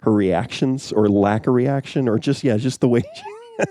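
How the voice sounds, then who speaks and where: A man co-hosting a casual film podcast, talking in an animated way, often trailing off and restarting. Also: medium pitch, normal speed. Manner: animated, laughs often, trails off mid-sentence. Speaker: podcast co-host. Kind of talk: casual chat.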